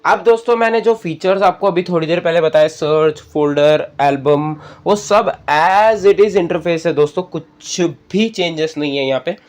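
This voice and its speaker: A man's voice: fairly high pitch, moderate pace, few pauses. A man with an Indian accent hosting a casual step-by-step app tutorial, conversational and often checking in with listeners. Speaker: tutorial host.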